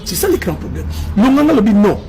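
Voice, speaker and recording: Emphatic high-pitched Congolese man. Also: very animated, a little fast, dark tone, a little hiss or hum, poor audio. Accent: Congolese French. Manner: emphatic, repetitive, mixes in Lingala. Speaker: political commentator. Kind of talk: impassioned political commentary.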